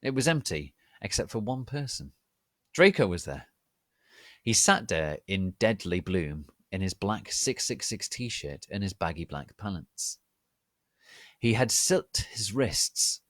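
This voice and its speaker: A man giving a theatrical, deliberate, mock-serious dramatic reading of fan fiction. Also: lowish voice, sing-song, fairly bright tone, no room sound, clean studio sound. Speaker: reader of online fan fiction.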